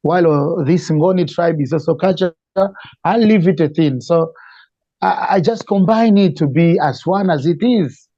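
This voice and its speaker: A Malawian man with a fairly high, very mellow voice gives conversational interview answers. His accent is Malawian. The recording is very dry, with a muffled, lo-fi sound. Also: normal speed, no room sound. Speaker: interview guest, reggae singer.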